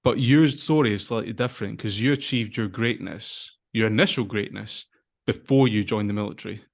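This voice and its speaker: A man's voice: lowish voice, normal speed, gravelly. Informal male podcast host. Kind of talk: conversational interview.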